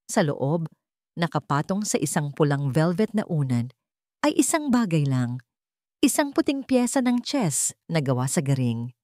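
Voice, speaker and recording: Low-voiced Filipino woman narrating, dramatically expressive. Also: measured pace, no room sound, clean studio sound. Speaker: story narrator. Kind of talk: dramatic scripted audiobook-style narration.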